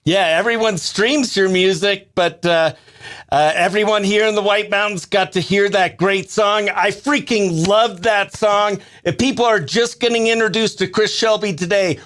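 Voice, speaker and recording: A male radio host with a high, bright voice. He talks in a casual interview style and sounds enthusiastic as he goes. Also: fairly even intonation, moderate pace.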